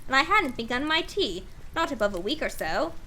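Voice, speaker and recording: A woman's voice: high voice, big pitch swings, fairly quick, pushed and bright, slight background noise, voice pushed up, heavily acted. A woman voicing a wretched, anxious male hatter in a storybook, pleading with a trembling voice. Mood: frightened pleading. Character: nervous, wretched poor hatter pleading before royalty.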